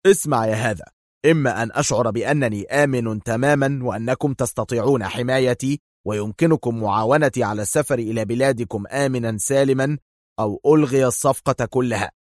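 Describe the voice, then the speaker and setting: A man's voice: mid-range voice, fast talker, dry recording, studio quality, lightly acted. A man playing a hot-tempered, suspicious agent in a spy story, nervous, indignant and argumentative. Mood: angry demanding. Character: hot-tempered, suspicious male foreign agent, easily outraged.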